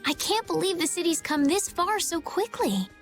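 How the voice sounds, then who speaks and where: A woman playing an earnest, hopeful, gentle young heroine in an English anime game dub. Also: extremely high pitch, lively intonation, moderate pace, soft background music.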